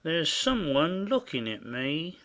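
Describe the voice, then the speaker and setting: Stage comedy audioplay: male actor as gullible rustic go-between, very animated, slow and halting, forever confiding secrets he should keep. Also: fairly high pitch, full character voice. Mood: wary. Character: simple, indiscreet rustic male messenger, conspiratorial and chatty.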